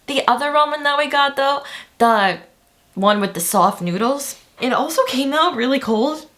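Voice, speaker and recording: A woman's voice: high voice, animated, moderate pace, a bit bright. A woman presenting a chatty, playful video lesson, speaking straight to her viewers. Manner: chatty, playful, addresses viewers as "you guys". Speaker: language teacher.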